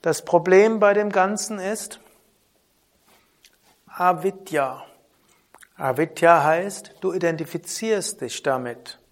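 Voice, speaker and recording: A man teaching a spoken lesson on yoga philosophy in a calm, measured way, often asking a soft "hm?". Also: sits high. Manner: calm, measured, with frequent soft trailing drops and questioning "hm?". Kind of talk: spoken lesson on Vedanta terms.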